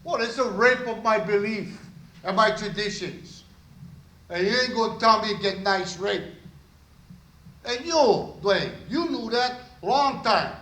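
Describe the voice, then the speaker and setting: A man's voice: sits high, lively intonation, slow, sharp tone, low-quality recording. A man in his sixties with a local Hawaiian accent giving impassioned, confrontational public testimony, full of blunt rhetorical questions. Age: 60s. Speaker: activist testifying at a public meeting.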